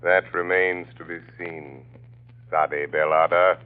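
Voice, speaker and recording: An actor playing a mysterious invisible crime-fighter, calm and commanding, in 1940s radio style. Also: male voice, sits low. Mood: calm, taunting. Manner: slow, commanding, taunting. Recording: tinny, band-limited old radio recording.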